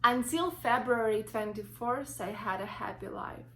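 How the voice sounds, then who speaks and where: A young woman with a Ukrainian accent, reading her own story from notes in a halting way with many fillers and restarts. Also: on the high side. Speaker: vlogger.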